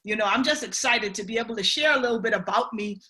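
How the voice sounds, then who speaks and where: Bahamian woman on a video call, kinda high and really bright, keeps saying you know and right. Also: fast talker. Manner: upbeat, chatty, lots of "you know" and "right". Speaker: guest speaker on a mentorship call. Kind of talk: motivational personal story.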